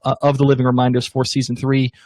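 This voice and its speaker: A man's voice: medium pitch, completely flat delivery, fast, darkish tone. Enthusiastic rambling man on podcast. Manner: enthusiastic, rambling with fillers and restarts, laughs easily. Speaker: podcast co-host. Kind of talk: casual TV recap chat.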